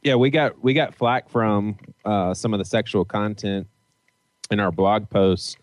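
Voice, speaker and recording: A man's voice: lowish voice, steady tone, a little slow, no room sound. A man co-hosting a podcast, speaking in a matter-of-fact way in casual chat.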